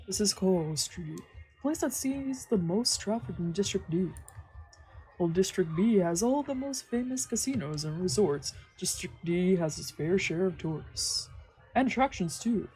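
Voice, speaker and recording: A woman's voice: medium pitch, lively intonation, moderate pace, a little mellow, slightly rough, soft background music, low-quality recording. A woman streamer narrating a visual novel, slipping into casual asides. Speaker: streamer doing a let's play. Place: indoor stream with game music behind.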